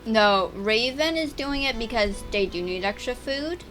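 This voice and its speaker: A teenage girl chatting and stammering through gameplay commentary on her own channel, with a voice she calls a little deeper and rougher over time. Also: expressive, measured pace, over music, poor audio. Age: teens. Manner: chatty, stammering, restarts words. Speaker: gaming YouTuber.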